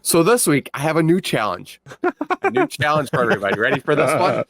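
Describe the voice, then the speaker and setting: A man's voice: on the high side, very animated, fast talker, fairly bright tone, breathy edge, no room sound. Playful male podcast co-host, dry close sound. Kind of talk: casual sign-off plugs.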